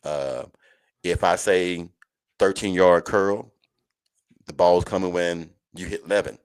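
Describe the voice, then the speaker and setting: A man's voice: sits low, takes it slowly, clean tone, dry recording. A man hosting a college football show in a casual, folksy, conversational way, speaking to listeners like friends. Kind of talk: casual live sports chat.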